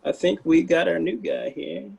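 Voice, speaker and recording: A man's voice: on the high side, moderate pace, gravelly, darkish tone, a little hiss or hum. A man hosting a casual video-call chat in an informal, laid-back way. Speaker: host of a table read.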